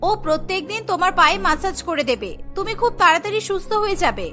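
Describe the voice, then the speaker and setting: A woman voicing a capable young wife in a cartoon, brisk and reassuring. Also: very high voice, big pitch swings, sharp tone, full character voice. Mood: reassuring, evasive. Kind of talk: Bengali cartoon dialogue. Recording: music behind the voice. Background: music.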